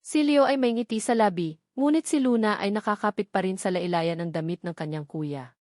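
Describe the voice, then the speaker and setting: A woman's voice: mid-range voice, brisk, a little mellow, no echo. Dry-sounding woman narrating a romance story.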